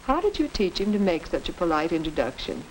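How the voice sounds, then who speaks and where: A woman playing a courteous, encouraging American mother in a 1940s instructional film, speaking in a measured, formal way. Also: fairly low pitch, very animated. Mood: gracious, encouraging. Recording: noisy 1940s educational film soundtrack.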